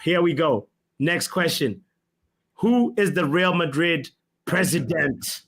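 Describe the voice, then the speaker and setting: An excitable young man runs a football quiz among friends, announcing each question and the standings with hype. His voice sits a little high, and he laughs easily between lines. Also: slow pace, faint music behind. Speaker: quiz host. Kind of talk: casual quiz chat with friends.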